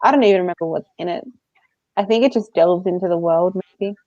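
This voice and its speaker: A woman's voice: lowish voice, fast talker, dark tone. A woman co-hosting a book readalong live show in giggly, informal casual chat. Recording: dull, roomy lo-fi sound.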